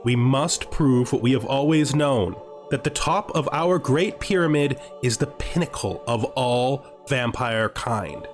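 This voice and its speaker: A man playing a proud, zealous vampire commander in a measured, grandiose game roleplay monologue. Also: mid-range voice, natural voice. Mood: defiant resolve. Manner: solemn, grandiose, measured oratory. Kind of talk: dramatic in-character roleplay monologue. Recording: music behind the voice.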